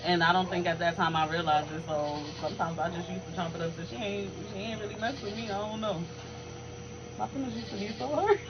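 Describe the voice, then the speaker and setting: A woman's voice: lowish voice, quick pace, highs cut off, heavy background noise. Chatty woman, giggly and heartfelt. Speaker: podcast co-host. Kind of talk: casual chat between sisters.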